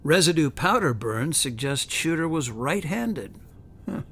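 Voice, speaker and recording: A man's voice: sing-song, measured pace, a bit bright, exaggerated voice. A man playing a driven, weary and impatient lawyer in a radio drama, terse and insistent. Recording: rumbling background noise.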